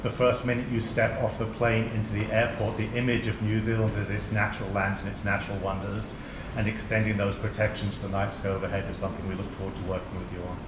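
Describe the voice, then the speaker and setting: A man's voice: lowish voice, flat delivery, quick pace. A man who grew up in England and now has an accent between English and American speaks to a conference over a video link. He sounds friendly, laughs often and repeats words as he goes. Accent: mixed English and American. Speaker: nonprofit chief executive. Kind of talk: remote conference remarks. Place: community hall, heard over a video link. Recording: echoey hall with background noise.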